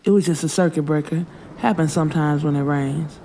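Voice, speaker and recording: A woman's voice: sits low, brisk, dark tone, slight background noise. A woman narrating first-person urban fiction in a casual, frank, streetwise way. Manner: frank, streetwise delivery with swearing. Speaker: audiobook narrator.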